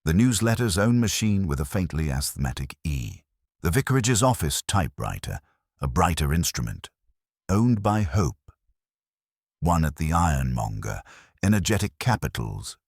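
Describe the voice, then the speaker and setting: A man narrating a literary mystery from a script in a measured, wry manner. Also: very deep voice, big pitch swings, a little mellow, husky, no room sound, clean studio sound. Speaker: audiobook narrator.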